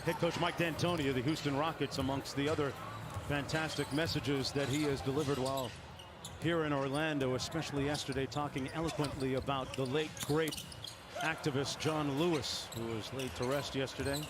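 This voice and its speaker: A man's voice: medium pitch, steady tone, a little fast. A man doing polished, emphatic play-by-play commentary for a basketball broadcast. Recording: loud arena noise, bouncing ball behind. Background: game ambience and bouncing basketball.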